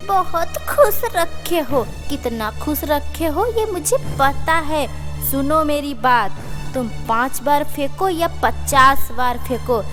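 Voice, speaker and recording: Nagging village wife for a kids' cartoon: high-pitched, drawn-out, sarcastic complaints. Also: female voice, lively intonation, over music. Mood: sarcastic, demanding. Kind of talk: Hindi children's cartoon story acting.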